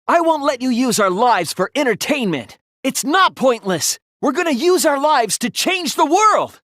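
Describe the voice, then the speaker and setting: A man voicing an earnest teenage boy detective in a dramatic anime-style video game, hesitant at times and forceful when arguing. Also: extremely high pitch, big pitch swings, moderate pace, no room sound, clean studio sound, exaggerated voice. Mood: defiant.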